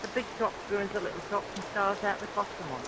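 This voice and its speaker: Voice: mid-range voice, steady tone, quick pace, natural voice. An actor playing a cheeky, chatty down-on-his-luck youth with colloquial speech in a vintage radio melodrama. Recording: vintage radio recording, hissy rain noise.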